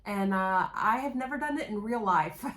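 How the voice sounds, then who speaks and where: A woman's voice: medium pitch, animated, moderate pace, a bit bright. A woman introducing a video in a chatty way with many fillers, getting over a cold. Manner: casual, filler-heavy, chatty. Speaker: craps channel host.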